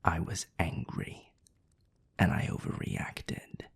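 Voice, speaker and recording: A man voicing a haughty, scornful vampire with a raspy, breathy voice in a scripted audio drama. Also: slow, whispered, natural voice. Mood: exasperated. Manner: haughty, cutting, sardonic. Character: scornful, condescending male vampire, bitter rival. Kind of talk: scripted audio roleplay acting.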